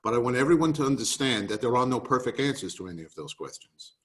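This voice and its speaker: A man's voice: mid-range voice, animated, fast, a bit bright. A man with a New York accent giving an emphatic, rallying business talk on a video call. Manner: emphatic, rallying, repeats key points. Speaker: webinar presenter.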